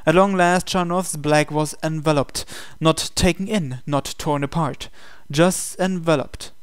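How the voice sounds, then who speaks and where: A man reading scripted, dramatic story narration in a measured, solemn way. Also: fairly high pitch, fairly even intonation, smooth, dry recording, clean studio sound. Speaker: narrator reading translated game text. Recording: music behind the voice. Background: music.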